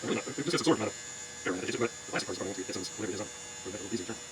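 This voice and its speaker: A man's voice: on the low side, very fast. A man giving casual, hands-on repair commentary in fragmented remarks, half muttering to himself as he works. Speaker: radio restorer hosting a hands-on repair video. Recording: steady mains hum and loud background noise.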